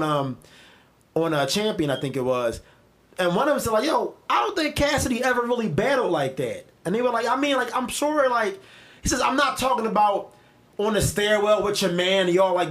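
Philly podcast co-host, male, higher-pitched voice, animated, profane, storytelling. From Philadelphia. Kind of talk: casual chat.

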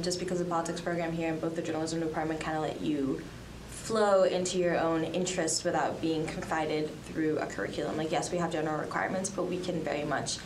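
A young woman from the Dominican Republic and Colombia talks through her answers casually, full of hedges and fillers. Her voice sits a little low, and she sounds set back from the microphone in a reverberant room. A steady noise runs under the recording. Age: young adult. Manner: chatty, many fillers and hedges. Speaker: student journalist guest. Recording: echoey room, distant from mic, noisy.

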